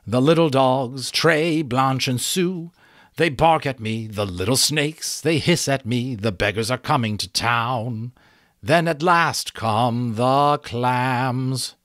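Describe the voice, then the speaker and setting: A man voicing a flighty, whimsical male butterfly who sings and recites in a drawn-out, theatrical way. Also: medium pitch, a bit bright, no room sound, studio quality, pitched above the performer's own voice. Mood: sing-song. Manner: sing-song, drawn-out, theatrical.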